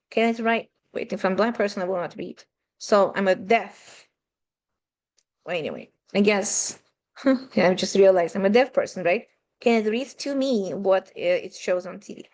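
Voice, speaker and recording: A woman's voice: mid-range voice, a little mellow. A woman with a Russian-Ukrainian accent giving an online math seminar lecture from home, talking conversationally and asking rhetorical questions. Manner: conversational, asks rhetorical questions, non-native phrasing. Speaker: math teacher. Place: home room with a running aquarium.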